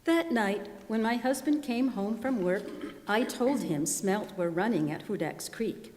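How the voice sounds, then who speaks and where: Woman, mid-pitched voice, scripted first-person narration, readers theater reading, long hall reverb. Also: unhurried, darkish tone. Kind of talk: scripted first-person narration read aloud to a live gathering.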